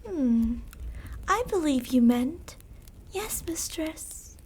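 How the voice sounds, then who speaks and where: Slow, high-voiced, sadistic vampire mistress. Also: female voice, lively intonation, a little mellow, heavily acted. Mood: possessive, soothing. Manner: slow, commanding, coaxing, with whispered endings. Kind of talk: ASMR roleplay, intimate dramatic monologue.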